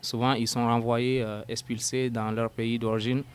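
Smooth-voiced young man from Sudan. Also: mid-range voice, little pitch movement. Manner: halting, searching for words, grows forceful when indignant. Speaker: poet, interview guest. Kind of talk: earnest personal testimony. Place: at a housewarming gathering.

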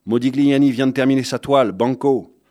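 A man's voice: mid-range voice, brisk, darkish tone, soft background music, pitched above the performer's own voice, close to an everyday voice. A man voicing an edgy, scornful young hacker on the run in an audiobook, curt and foul-mouthed. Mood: terse, urgent.

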